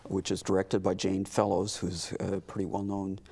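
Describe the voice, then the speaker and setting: An American man talking casually as an interview guest about community work, pausing often as he explains things. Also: low-pitched, completely flat delivery, creaky, dark tone, slightly rough. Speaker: community theater representative, talk show guest.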